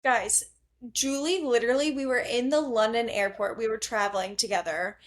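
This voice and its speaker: A woman's voice: fairly high pitch, steady tone, moderate pace. A young adult woman hosting a casual podcast chat, talking in a gushing, slangy way peppered with "like". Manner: gushing, slangy, heavy use of "like". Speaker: podcast host.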